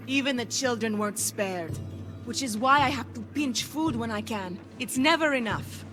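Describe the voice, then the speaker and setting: A woman's voice: a little high, animated, moderate pace, over music, lightly acted. An actress playing a defiant, streetwise peasant mother in a video game, feisty and earnest. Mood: bitter, pleading. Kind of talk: video game cutscene acting.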